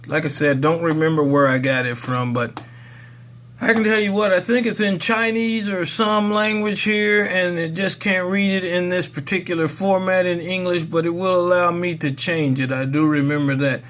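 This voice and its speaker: Casual man with a slightly high voice. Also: normal speed, fairly bright tone. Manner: folksy, rambling, thinking aloud. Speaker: presenter of a software tutorial. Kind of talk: casual unscripted how-to walkthrough.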